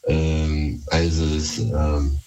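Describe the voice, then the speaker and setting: A man's voice: extremely low pitch, monotone, very slow, mellow. A man from Berlin with a Berlin accent, calling a radio show by phone, chatting casually in a hesitant, slightly nervous way. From Berlin, Germany. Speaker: phone-in caller. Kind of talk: casual chat. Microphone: phone call to a radio show. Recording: dull lo-fi phone call.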